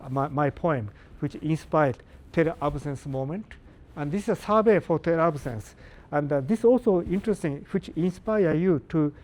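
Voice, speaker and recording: An older man giving a live lecture in halting, non-native English, pausing often and putting questions to the audience. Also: a little high, darkish tone. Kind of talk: live academic talk to an audience.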